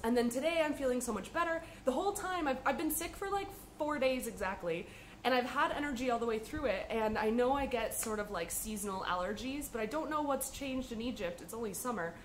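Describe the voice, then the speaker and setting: Lady host chatting away, kinda high voice, little sniffly, lots of ums. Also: slight background noise, poor audio. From United States. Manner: chatty and upbeat with frequent ums. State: slightly sniffly from a cold. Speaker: podcast host. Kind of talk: casual chat to camera.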